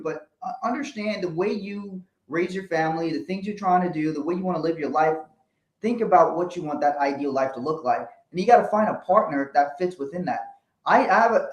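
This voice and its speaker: Man from Liberia, co-host, fairly high voice, jokey and rambling, echoey room. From Liberia, West Africa. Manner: jokey, rambling, laughs often, many restarts. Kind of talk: casual conversational advice. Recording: roomy echo.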